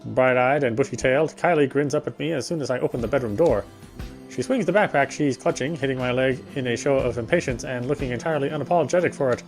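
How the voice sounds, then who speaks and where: A man reading a game character's story narration aloud, sometimes stumbling and rereading, with music quietly behind him. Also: mid-range voice, fast.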